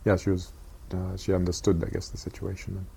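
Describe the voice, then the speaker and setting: An older man from Japan, recalling his childhood in an oral history interview, talking haltingly with many pauses and fillers. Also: sits low, completely flat delivery, vocal fry, dark tone, slight background noise.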